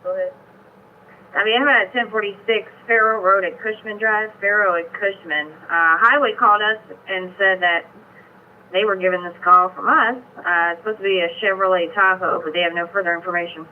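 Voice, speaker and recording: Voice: medium pitch, steady tone, a little fast, phone-quality sound, a little hiss or hum. A dispatcher giving clipped police radio calls over a two-way scanner feed.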